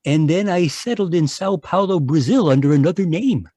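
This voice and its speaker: A man's voice: a little high, lively intonation, normal speed, warm tone, slightly rough, no room sound. An actor playing a timid, apologetic, world-weary man of about fifty in a radio mystery, hesitant and stammering. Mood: rueful. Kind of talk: radio mystery drama acting. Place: live remote performance over video call.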